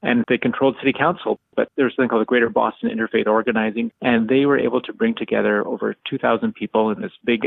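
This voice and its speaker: A man from Canada talks quickly and earnestly in a low, gravelly voice as an interview guest. The sound is thin and phone-like, and the room is dry. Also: dry recording. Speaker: lead organizer, interview guest. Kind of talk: conversational interview answers.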